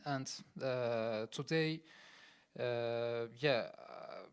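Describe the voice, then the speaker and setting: A man with a Turkish accent, a musician answering audience questions, speaking haltingly with many fillers and restarts. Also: medium pitch, clean tone. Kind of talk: panel Q&A answers.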